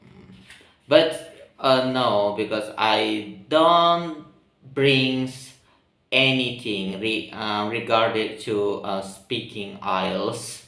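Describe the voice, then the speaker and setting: A man with an Indonesian accent, speaking English as a second language, talking slowly and haltingly, searching for words with many pauses and ums. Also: on the low side, sharp tone. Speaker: vlogger practicing spoken English. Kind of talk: reflective solo monologue. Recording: roomy echo, mic at a distance.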